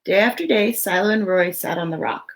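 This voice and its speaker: A woman with a noticeably creaky, mid-pitched voice reads a children's picture book aloud. She speaks simply and emphatically for young listeners, in a storytime style that slips easily into casual chat. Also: brisk.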